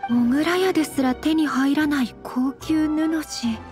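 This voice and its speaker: A woman voicing a gentle, refined young noblewoman with very polite, measured speech in an anime game. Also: sits high. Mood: composed, thoughtful. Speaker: game voice actor. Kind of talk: scripted anime game dialogue. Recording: studio voice over game music.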